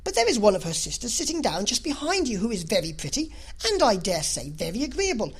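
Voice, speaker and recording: A woman voicing a cheerful, sociable young gentleman in a novel reading, speaking in a friendly, enthusiastic, urging way. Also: on the high side, very animated, quick pace, breathy edge, heavily acted. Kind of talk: classic novel audiobook character reading.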